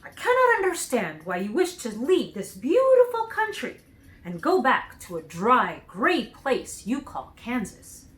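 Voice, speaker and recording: A woman's voice: on the high side, huge pitch swings, unhurried, a bit bright, full character voice. A woman voicing a cheerful, naive scarecrow in a storybook, speaking earnestly and with emphasis. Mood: earnest, puzzled.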